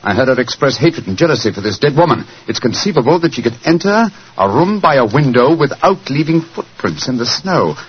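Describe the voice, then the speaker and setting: An actor playing a keen, courteous English gentleman detective with a British accent in a 1940s radio drama. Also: medium pitch, big pitch swings, brisk, hardly any pauses. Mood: probing. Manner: probing, polite, crisp reasoning. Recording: muffled, hissy 1940s radio recording.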